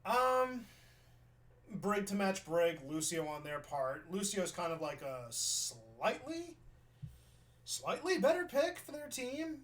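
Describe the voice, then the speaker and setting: A young man from New York talks through a gameplay review in a loose, conversational way. His voice sits a little high for a man. He thinks out loud and often circles back to restart a thought. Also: very animated, slow. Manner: chatty, thinks aloud with restarts. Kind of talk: casual gameplay review commentary.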